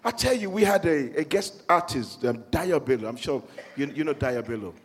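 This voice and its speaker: Jovial Nigerian-accented preacher. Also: male voice, fairly high pitch, normal speed. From Nigeria (born in London). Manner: humorous storytelling, animated, working the congregation. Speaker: pastor. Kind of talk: sermon. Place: church hall.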